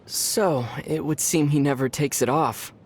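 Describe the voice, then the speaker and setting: An actor playing a calm young male traveler hero in a video game who answers in a dry, deadpan way. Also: fairly high pitch, unhurried. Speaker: player character. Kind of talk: video game character banter.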